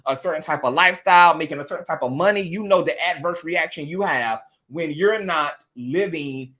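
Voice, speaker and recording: A man's voice: on the high side, a bit bright. A man hosting a podcast discussion, speaking with passion, often repeating words and swearing casually.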